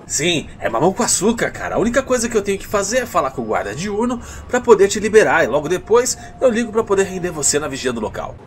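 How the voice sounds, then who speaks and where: A man acts out a shifty pizzeria boss in a dubbed horror film, speaking with a Brazilian accent. His delivery is breezy and reassuring with hesitant fillers, and the pitch moves around a lot. A faint rumbling noise sits behind the voice. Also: sing-song, fast talker, few pauses. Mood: reassuring, evasive. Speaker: voice actor in a fan dub.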